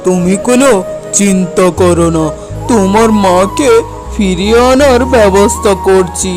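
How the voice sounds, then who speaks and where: A woman voicing a calm, firm village healer-magician in a children's cartoon, with a measured manner. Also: mid-range voice, natural voice. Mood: reassuring. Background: music.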